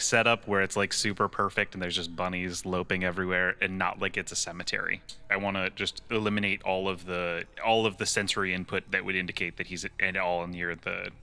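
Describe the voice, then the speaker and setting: A man's voice: low voice, steady tone, normal speed, bright, forceful tone, smooth. A man playing a tabletop role-playing game, casually describing what his character does. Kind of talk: casual improvised game talk.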